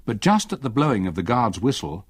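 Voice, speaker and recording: An actor playing an old English gentleman who narrates his memories in a radio drama, measured, literary and well-spoken. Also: lowish voice, big pitch swings, slightly rough, dry recording, clean studio sound. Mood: reflective, uneasy.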